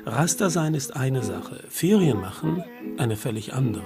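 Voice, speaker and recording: Gravelly male voice-over with guitar behind. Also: mid-range voice, very animated, normal speed. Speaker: German voice-over reader. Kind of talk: scripted translation for radio. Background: guitar music.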